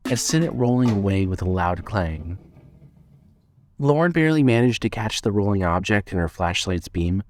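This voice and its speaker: A man narrating a scripted suspense story in a measured, storytelling manner. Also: on the low side, a little mellow, dry recording, clean studio sound.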